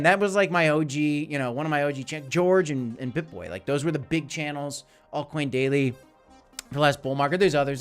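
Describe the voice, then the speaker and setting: A man hosting a casual crypto live stream, chatty and hyped, calling out viewers by name, his voice going hoarse. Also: on the high side, a bit bright. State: losing his voice.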